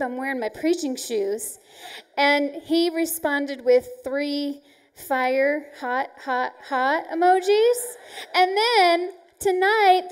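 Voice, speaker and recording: A middle-aged woman pastor with a soft-spoken voice, chatty and self-deprecating, preaching to young adults on a large church stage into a handheld mic. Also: high-pitched.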